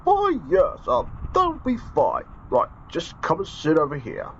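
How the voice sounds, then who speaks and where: A man voicing a clumsy, grumpy bearded character in a home-made storybook play, with squeaky exclaiming and stammering. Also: huge pitch swings, slow pace, a bit bright, a little hiss or hum, full character voice. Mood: cheerful. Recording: dull lo-fi recording, faint music.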